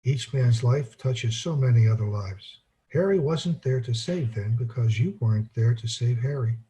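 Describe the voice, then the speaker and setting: An actor playing an earnest, apologetic, bumbling elderly guardian angel in an old-time radio play. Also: male voice, medium pitch, normal speed, mellow, lightly acted, low-quality recording. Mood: gently earnest.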